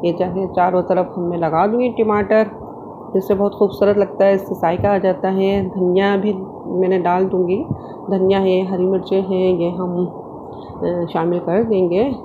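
A woman's voice: fairly low pitch, level tone, a little slow. A woman presenting a home cooking recipe, explaining each step as she does it and often repeating herself. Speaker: home cook hosting a recipe video. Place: indoor home kitchen. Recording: dull lo-fi phone-like home recording, clear background noise.

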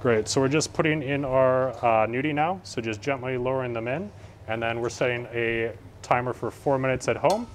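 A man's voice: mid-range voice, moderate pace, slight background noise. A young Canadian man, a student chef, explaining a dish during a live cooking demonstration with many "uh" fillers. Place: large teaching kitchen studio.

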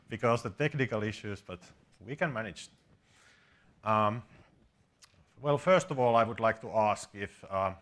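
A man's voice: medium pitch, a bit bright. A man in his forties with a Finnish accent, giving an informal, matter-of-fact live coding workshop as a conference speaker. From Finland. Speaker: conference speaker and programming instructor. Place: small conference seminar room with seated audience.

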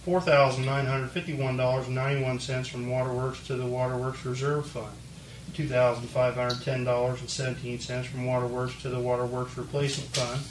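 A man from Ohio presenting resolutions and reading dollar figures steadily at a town council meeting. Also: mid-range voice. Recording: echoey room, far mic, background noise.

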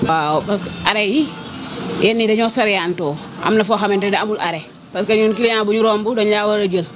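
A woman's voice: medium pitch, brisk. A young woman who works as a bus conductor, speaking frankly in a street interview inside a bus, into a handheld reporter mic. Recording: bus noise behind.

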